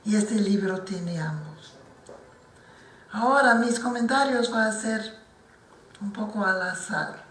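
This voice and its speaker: A non-native older woman giving formal comments at a book presentation, speaking slowly and carefully and often restarting her words. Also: mid-range voice, a bit bright. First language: not Spanish. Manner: slow and careful, with frequent restarts. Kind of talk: formal talk at a book launch. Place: lecture hall at an institute. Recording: roomy hall picked up from a distance.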